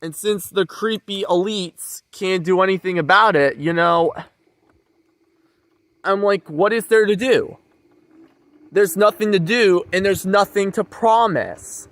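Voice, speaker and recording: A man's voice: sits high, unhurried, fairly bright tone, no echo. A man giving a rambling, informal monologue to viewers, repeating his phrases for emphasis. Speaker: vlogger.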